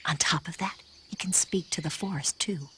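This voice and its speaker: A woman acts out a composed female spy from a video game. Her voice is low, and she speaks in a measured, reassuring way. A faint bed of insect noise runs behind her. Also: lightly acted. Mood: calm, cautionary. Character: composed, encouraging female spy briefing an ally. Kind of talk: dramatic video game voice acting.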